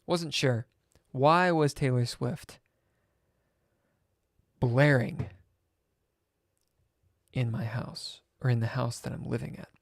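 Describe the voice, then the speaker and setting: Slow, sardonic ranting man. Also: medium pitch, gravelly, dry recording, studio quality. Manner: sardonic, swears, swings between loud outbursts and whispered asides. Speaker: chess streamer. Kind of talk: rambling storytelling on a live stream.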